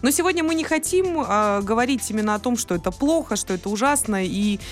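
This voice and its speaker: A woman co-hosting a morning radio show, lively and chatty as she tells stories in the studio. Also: a little high, fast, faint music behind. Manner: lively, storytelling. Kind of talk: casual radio banter.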